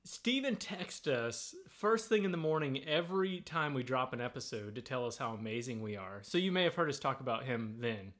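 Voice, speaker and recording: A man's voice: animated, normal speed, few pauses, a bit bright. A man hosting a board game podcast in casual chat, sharing one microphone with his guest and laughing at his own jokes. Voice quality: higher-pitched than his co-host, by his own account. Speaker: podcast host.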